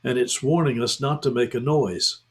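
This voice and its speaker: A man's voice: mid-range voice, steady tone, moderate pace, close to an everyday voice. A man voicing a sensible, practical older schoolgirl in a storybook.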